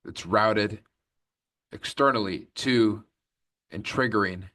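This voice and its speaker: A man with a fairly low voice narrates an instructional tutorial. He goes one step at a time, punctuating his directions with quick check-ins. He sounds set back a little from the microphone. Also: bright, forceful tone, recorded from a distance. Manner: step-by-step, often checking with "okay?". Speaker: music production tutorial host.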